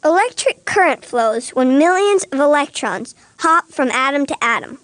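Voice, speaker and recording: A woman's voice: high voice, lively intonation, moderate pace, a bit bright, dry recording. A woman voicing an earnest young boy who works as a lawyer's assistant in a cartoon, asking eager, methodical questions. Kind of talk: animated educational courtroom dialogue.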